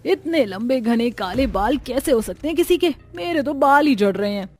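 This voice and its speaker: A woman's voice: high voice, animated, normal speed. A woman playing chatty young village women in a cartoon story. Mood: curious.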